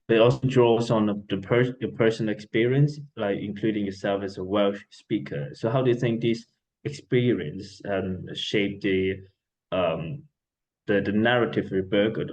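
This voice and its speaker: A man with a fairly low voice hosting a book club interview. He asks his questions haltingly, stopping on fillers and repeating words as he goes. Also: darkish tone, rough recording.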